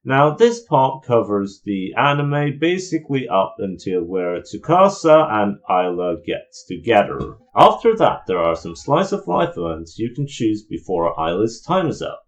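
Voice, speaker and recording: A man's voice: lowish voice, sing-song, measured pace, few pauses, lo-fi sound. A man giving an opinionated, explanatory video review in an informal commentary style.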